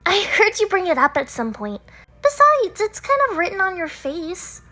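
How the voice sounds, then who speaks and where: A woman's voice: extremely high pitch, very animated, moderate pace, bright, forceful tone, faint music behind, heavily acted. A woman voicing a touchy, bossy teenage schoolgirl manga fan in a visual novel dub, defensive and huffy. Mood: matter-of-fact.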